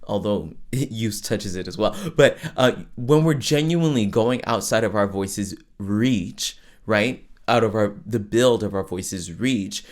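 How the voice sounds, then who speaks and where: A man with a lower voice, hosting a podcast and explaining singing to listeners in a loose, chatty way, full of restarts and tag questions. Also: a little slow. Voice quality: lower voice with falsetto access. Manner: conversational, many fillers and restarts, frequent "right?". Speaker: podcast host and vocal coach. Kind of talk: casual teaching monologue.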